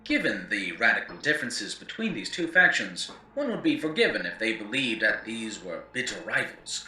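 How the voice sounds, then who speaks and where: Guy acting as a wry wasteland radio host guy, super forceful sharp delivery, swings up and down, theatrical lore lecture. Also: fairly low pitch, heavily acted. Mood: grave, scornful. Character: wry, opinionated male radio lore host of post-apocalyptic America. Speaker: narrator and host. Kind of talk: scripted in-character lore narration, lecture-like. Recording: roomy, distant mic, faint background noise.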